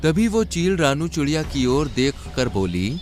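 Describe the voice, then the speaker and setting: A man's voice: a little high, sing-song, unhurried, darkish tone. A man narrating a scripted children's cartoon story.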